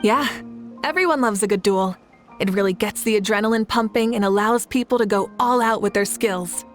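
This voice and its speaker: A woman's voice: medium pitch, fairly quick, lightly acted. A woman playing a confident, good-humored young female warrior leader in a video game, with an assured, playful delivery. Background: music.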